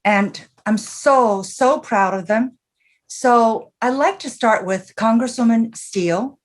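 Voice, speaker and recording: A woman moderating a virtual panel over a video call, upbeat and enthusiastic as she introduces speakers and asks questions. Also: mid-range voice.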